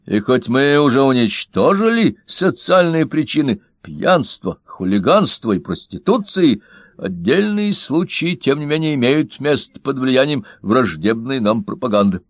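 A man's voice: mid-range voice, big pitch swings, a little slow, no echo, pitched above the performer's own voice. Brief: lecturing Soviet official, read by a man, swooping delivery, muffled lo-fi take. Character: self-assured male Soviet party official. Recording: dull, dry lo-fi recording.

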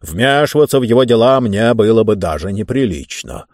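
A man's voice: lowish voice, animated, darkish tone, no room sound, studio quality. A man voicing a pompous, greedy tyrant who savours words theatrically in an audiobook. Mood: scornful, indignant. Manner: theatrical, drawn-out, emphatic delivery. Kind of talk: audiobook character voice.

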